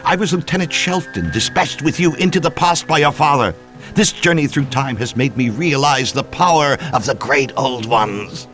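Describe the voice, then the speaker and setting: An actor playing a smug, traitorous cultist in a video game, mocking and declamatory. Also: male voice, medium pitch, expressive, moderate pace, fairly bright tone, faint music behind, natural voice. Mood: gloating confession. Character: gloating traitorous male cultist, smug villain. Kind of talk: video game villain acting.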